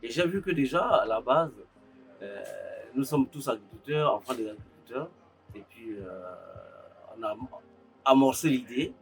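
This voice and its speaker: A man's voice: medium pitch, lively intonation, measured pace, soft background music, low-quality recording. A man from Côte d'Ivoire, an accountant by training, giving a hesitant interview testimony. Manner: hesitant, frequent filler sounds. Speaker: farm co-founder, accountant by training.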